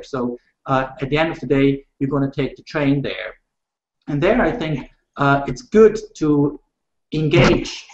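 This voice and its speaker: Rail exec on a video call, halting, hesitant delivery, roomy and dull audio. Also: male voice, on the high side. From Switzerland. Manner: measured, halting with frequent uh fillers and restarts. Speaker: rail industry panelist. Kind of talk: panel discussion over video call.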